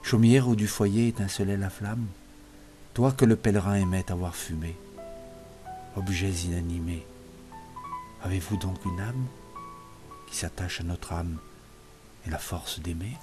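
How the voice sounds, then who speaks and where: A man reciting poetry in a solemn, expressive literary style, in measured phrases that sink softer as lines end. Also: low voice. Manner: measured phrases falling softer at line ends. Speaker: poetry reader. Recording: music playing behind the voice. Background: music.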